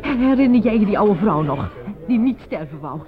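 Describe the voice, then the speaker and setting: A woman's voice: medium pitch, sing-song, moderate pace, lightly acted. An actress playing a frightened, agitated woman in a radio drama, urgent and stammering. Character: frightened, agitated woman insisting she is sane. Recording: phone-like band, crowd noise behind.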